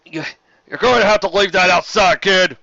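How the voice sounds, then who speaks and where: A man voicing a male pony guard officer, authoritative and deadpan, in an audiobook story. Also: high-pitched, moderate pace, no echo, dull audio, pitched above the performer's own voice. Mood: commanding.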